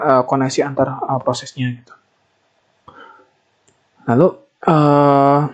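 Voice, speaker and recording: A young adult man, giving a semi-formal slide presentation as a student, with hesitant, halting phrasing and frequent pauses. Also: mid-range voice, darkish tone, slightly rough. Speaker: master's student presenting an assignment.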